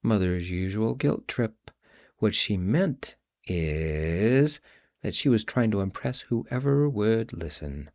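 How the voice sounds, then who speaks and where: A man reading his own novel aloud in a measured, reflective first-person storytelling voice. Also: fairly low pitch, sing-song, a little mellow. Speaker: audiobook narrator and author. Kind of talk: first-person audiobook narration.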